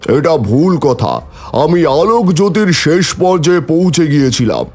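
A proud creator god, played by a man, declaims pompously in a fairly high male voice. It is boastful cartoon myth dialogue with music underneath. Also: expressive, normal speed, darkish tone, husky. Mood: insistent.

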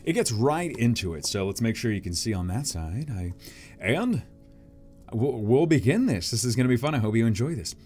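Male YouTube host, casual and jokey, very lively swooping delivery, music underneath. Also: medium pitch, moderate pace. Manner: animated, joking, self-deprecating. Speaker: YouTube host reading manga aloud. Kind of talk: casual vlog commentary.

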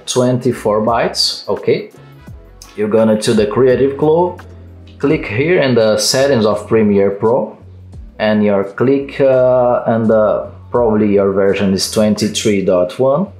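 A man in his thirties from Brazil, speaking English with a Brazilian Portuguese accent, walking through a software fix with halting phrasing and many fillers. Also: medium pitch, roomy sound, soft background music. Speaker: tech tutorial host. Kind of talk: screen-recorded software tutorial. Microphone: headset.

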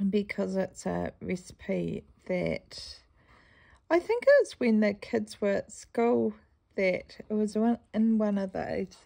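A woman with a New Zealand accent chatting casually in a stitching vlog, rambling through a story and often clearing her croaky throat. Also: medium pitch, expressive, takes it slowly, mellow. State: croaky morning voice. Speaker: cross-stitch vlogger.

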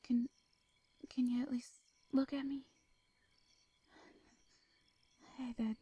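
A woman playing a gentle Southern farm woman, higher-pitched. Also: flat delivery, normal speed, husky, no echo. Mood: concerned, soothing. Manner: soft, hesitant, folksy endearments. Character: caring young female farmer, gentle, folksy, protective. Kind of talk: intimate roleplay audio drama acting.

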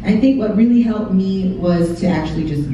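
A woman's voice: mid-range voice, a little fast, mellow, slight background noise. Young woman talking in an echoey hall. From Texas. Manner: chatty, candid, quotes her own thoughts. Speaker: YouTuber on a panel. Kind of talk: casual panel talk. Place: large hall, indoors. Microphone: handheld mic, heard from a far camera. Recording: echoey hall from far off, dull.

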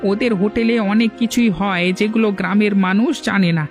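A man's voice: high-pitched, fairly even intonation, brisk, faint music behind. A man voicing a hesitant, gossiping visitor from the city in a Bengali cartoon, confiding as he talks.

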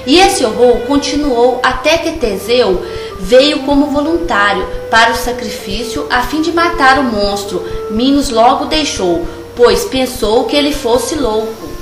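A woman's voice: on the high side, animated, a little slow, distant mic. A woman voicing a kindly, learned old grandmother who patiently tells stories in a cartoon. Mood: storytelling, grave. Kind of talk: storytelling in an amateur cartoon. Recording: roomy echo, music behind.

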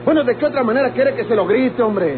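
A man playing a gruff, irritable Mexican rancher in a golden-age radionovela, with a Mexican accent and emphatic delivery. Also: very high-pitched, expressive, fast. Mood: exasperated, angry. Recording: tinny, noisy old radio recording.

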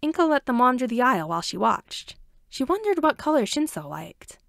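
Lively high-pitched woman narrating a story. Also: a little fast, gravelly, dry recording, clean studio sound. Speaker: amateur audiobook narrator.

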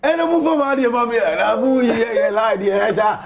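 A Ghanaian man in his thirties hosting a TV sports show in a studio, with an animated, exclamatory hype-man delivery. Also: very high-pitched, fairly quick, gravelly, a little hiss or hum. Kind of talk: lively TV sports talk mixing English and Twi.

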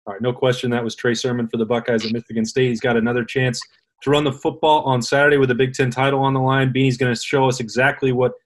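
A man's voice: mid-range voice, little pitch movement, fast talker. A man in his thirties hosting a casual sports interview, setting up questions and video clips in a conversational way. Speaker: host, sports reporter. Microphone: video call.

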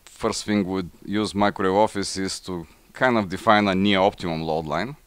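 A middle-aged man with a Bulgarian accent gives a technical slide presentation, speaking haltingly with frequent pauses. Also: sits low, fairly even intonation, a bit bright, clean tone, no echo. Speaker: conference presenter, engineer. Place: indoor forum room, seated at a table before a banner.

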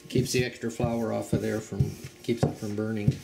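A man's voice: lowish voice, flat delivery, moderate pace, vocal fry, rough recording. A man explaining the steps of a home cooking demonstration in a plain, matter-of-fact way. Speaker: home cook. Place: home kitchen.

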